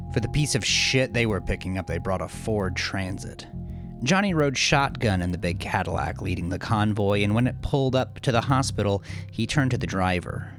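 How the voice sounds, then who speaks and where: A man with a gravelly voice reading fiction as a dramatic, intense and deliberate audiobook narrator. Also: low-pitched. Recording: music behind the voice. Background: music.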